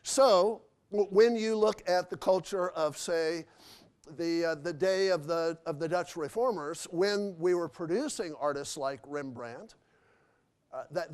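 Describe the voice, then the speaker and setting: A man fields audience questions after a lecture in a hotel convention hall. His voice sits high for a man, and he wanders through his answers with frequent fillers and restarts. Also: a little mellow. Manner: rambling, many fillers and restarts.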